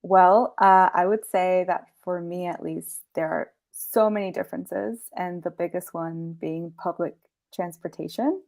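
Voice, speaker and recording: Young woman guest, kinda low voice, relaxed and friendly, laughs a bit. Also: unhurried. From Peru, grew up in the US. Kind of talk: casual interview chat.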